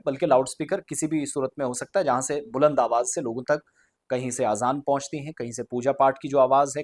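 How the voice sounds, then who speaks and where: A man's voice: medium pitch, darkish tone. A man giving unscripted news commentary to viewers, explaining and restating his points in Urdu-heavy wording sprinkled with English. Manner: Urdu-heavy vocabulary mixed with English words.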